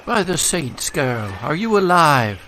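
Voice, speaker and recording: A man's voice: a little high, big pitch swings, slow, bright, forceful tone, a little hiss or hum, full character voice. A man voicing anxious, superstitious fishing boat crew who exclaim in old-fashioned folksy speech. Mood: worried.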